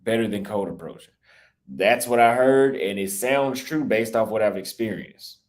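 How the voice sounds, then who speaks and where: Lowish-voiced man rambling on a livestream. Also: moderate pace. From United States. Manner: rambling, defensive, frequent restarts. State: voice slightly cracked. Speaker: travel YouTuber live-streaming. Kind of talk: casual livestream talk reading super chats.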